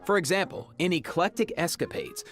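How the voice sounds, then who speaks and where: A man co-presenting a game preview program, mixing lively scripted explanation with friendly banter. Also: high-pitched, a little fast, faint music behind.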